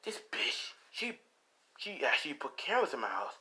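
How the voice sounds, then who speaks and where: A man's voice: fairly high pitch, sing-song, fairly quick, bright, forceful tone, husky, pitched above the performer's own voice, exaggerated voice. A man voicing an exasperated, distrustful young boyfriend in a fan story, blunt, incredulous and profane. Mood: shocked, angry.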